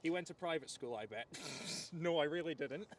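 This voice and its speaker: A man's voice: on the high side, moderate pace, slight background noise. A young man from Edinburgh with a Scottish accent, chatting politely outdoors at an airport, talkative and a bit hesitant. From Scotland. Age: young adult. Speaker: visiting plane spotter. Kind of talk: casual chat.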